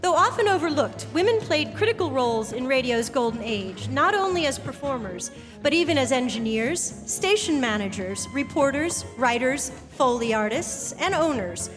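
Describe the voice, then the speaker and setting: A woman's voice: high voice, very animated, normal speed. A woman host giving scripted narration from a theatre stage. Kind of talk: scripted narration to a live audience. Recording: reverberant theatre with jazz underscoring. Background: jazz music.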